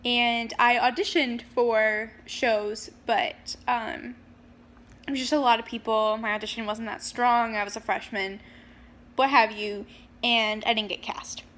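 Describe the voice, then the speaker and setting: A young woman talks straight to her camera in a casual, chatty way, with lots of ums and sudden bursts of speed. Her voice sits a little high and rings bright and clear. It sounds like a home video recording. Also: flat delivery, a little slow. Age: young adult. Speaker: vlogger. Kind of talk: casual personal storytelling. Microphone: handheld camera.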